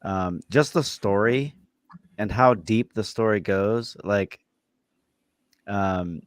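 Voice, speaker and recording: Man, slightly deep, slowish, halting casual podcast talk, dry recording. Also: dry recording. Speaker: podcast co-host. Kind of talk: casual chat about horror series.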